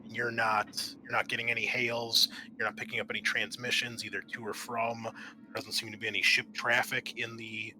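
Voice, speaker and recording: A man's voice: medium pitch, level tone, moderate pace, pushed and bright, a little hiss or hum, low-quality recording. A man narrating a tabletop game as game master in a conversational, explanatory way.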